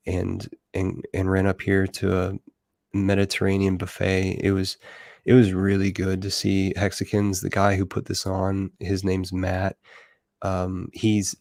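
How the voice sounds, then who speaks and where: Man, livestream host, rambling casual talk, dry close sound, deep voice. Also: gravelly, a little mellow, no room sound. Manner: rambling, many fillers. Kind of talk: casual online chat.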